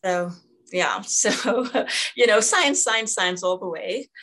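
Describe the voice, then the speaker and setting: A middle-aged woman born in Jamaica, a physician being interviewed, talking thoughtfully with many pauses and fillers. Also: mid-range voice, lively intonation, a bit bright. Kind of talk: conversational interview.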